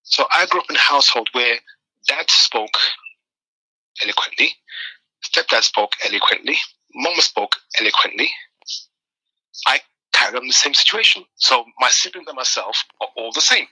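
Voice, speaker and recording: A man talks through his life story as an interview guest. His voice is very bright and forward, and the recording is dry and close. He tells it animatedly, stumbling and repeating words as he finds his point. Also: mid-range voice, animated, normal speed, pauses often, breathy edge, dry recording, rough recording. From Nigeria. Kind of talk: conversational interview.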